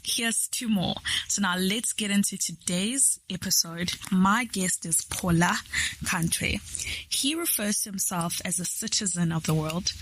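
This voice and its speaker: A woman's voice: medium pitch, slight background noise, low-quality recording. A woman in her twenties hosting a podcast, reading a scripted intro and then chatting casually with many filler words. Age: 20s. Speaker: podcast host.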